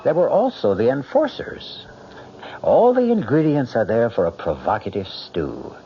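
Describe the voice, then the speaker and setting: A man hosting a radio drama with scripted narration, wry, theatrical and measured. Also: mid-range voice, sing-song, slight background noise. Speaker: host and narrator. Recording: muffled old radio recording.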